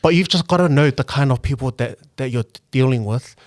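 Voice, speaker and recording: A man's voice: medium pitch, a little fast, no echo. Earnest young man, dry close sound. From New Zealand. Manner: earnest, reflective, frequent "um" and restarts. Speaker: guest, local politician and engineer. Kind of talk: casual podcast conversation.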